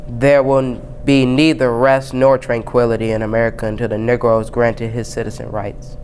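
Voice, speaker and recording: Flat-toned male student reading a speech. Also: mid-range voice, moderate pace, slight background noise. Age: young teen, 7th grade student. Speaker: student reader. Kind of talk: reading a famous speech aloud.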